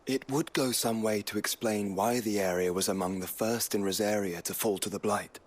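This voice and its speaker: An actor with a British accent playing a thoughtful, cautious young nobleman in a fantasy game, speaking in a measured, formal way. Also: fairly low pitch, steady tone, lightly acted. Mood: pondering.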